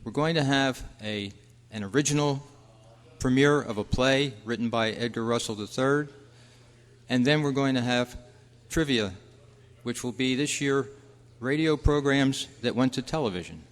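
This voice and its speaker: A man's voice: mid-range voice, moderate pace. A man hosting a club meeting, making announcements to the room through heavy reverb. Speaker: club host, master of ceremonies. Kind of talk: event announcements. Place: large meeting room at a convention. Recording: echoey hall, long reverb.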